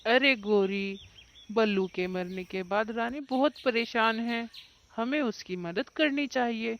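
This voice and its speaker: A kindly male crow in a children's cartoon, acted by a woman, speaks with earnest concern. The pace is slow and the pitch fairly high, with light noise behind. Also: dull audio. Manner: earnest, advising. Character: kind, concerned male crow, helpful neighbour. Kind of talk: children's cartoon story acting.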